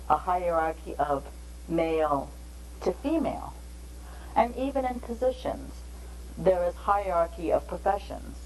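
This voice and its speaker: A woman giving a measured, explanatory training lecture on interpreting, pausing between phrases. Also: on the low side, darkish tone, slight background noise, low-quality recording.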